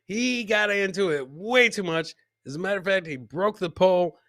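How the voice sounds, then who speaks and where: Male comedy host for live clip commentary. Bright, punchy, slightly raised voice, teasing delivery, repeats the punchline, close and dry sound. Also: high voice, moderate pace, creaky, no room sound.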